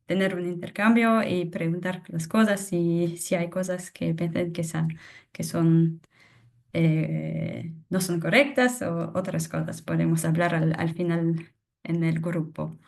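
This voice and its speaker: A young woman presenting a webinar in a language that is not her first, halting with many fillers and restarts. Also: on the low side, fairly even intonation, vocal fry, darkish tone. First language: not Spanish. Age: young adult. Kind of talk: webinar talk on soil.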